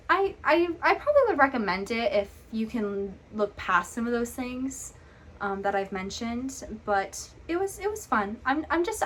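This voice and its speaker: Woman, hoarse voice, book vlogger, casual rambling chat, lots of fillers and restarts, mid pitch. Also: a bit bright. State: hoarse, losing her voice.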